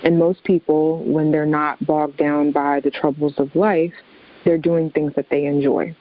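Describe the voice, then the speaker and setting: An American woman, a young adult, answering interview questions in a conversational, measured and explanatory manner as a guest. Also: low voice, flat delivery, phone-quality sound. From southeastern Virginia, USA.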